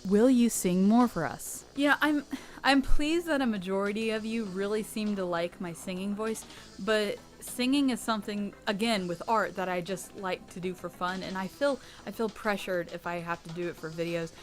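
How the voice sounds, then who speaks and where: A young American woman who hosts her channel, answering fan questions in a candid, chatty way with a low, rich voice. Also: normal speed, soft background music. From United States. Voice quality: low rich voice, sometimes taken for a boy. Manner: chatty and candid, with laughs and restarts. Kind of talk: casual Q&A monologue.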